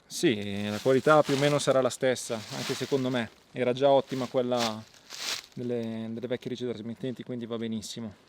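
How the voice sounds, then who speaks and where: Guy doing a casual gear review, normal mid pitch, stops and restarts while explaining. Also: steady tone, brisk, background noise. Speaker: outdoor gear reviewer and host. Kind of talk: casual product demonstration to viewers.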